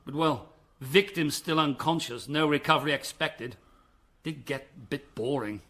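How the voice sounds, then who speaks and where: A man's voice: on the high side, normal speed, a bit bright. An actor playing a self-important but easily frightened male small-town newspaper editor, theatrical and flustered, in a radio drama. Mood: sheepish.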